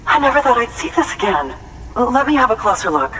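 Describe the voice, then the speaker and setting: A man's voice: extremely high pitch, sing-song, fairly quick, sharp tone, far from the mic, a little hiss or hum, full character voice, rough recording. An actor playing a nervous, sentimental, courteous man in a video game cutscene, speaking in a hesitant and polite way. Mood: moved, grateful.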